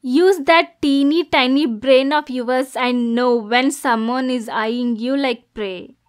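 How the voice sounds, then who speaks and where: Woman acting a high-voiced possessive yandere girlfriend. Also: fairly even intonation, slow. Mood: hurt, angry. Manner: cooing pet names, switching between soft menace and cursing. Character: possessive young yandere girlfriend, manipulative and unstable. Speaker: ASMR voice actor. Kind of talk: ASMR roleplay acting.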